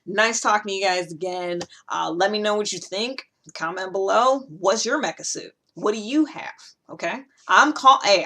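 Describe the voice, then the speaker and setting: A woman's voice: medium pitch, fairly bright tone. A woman hosting a casual video monologue, talking informally and conversationally to viewers in a rambling, self-deprecating way. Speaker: video host.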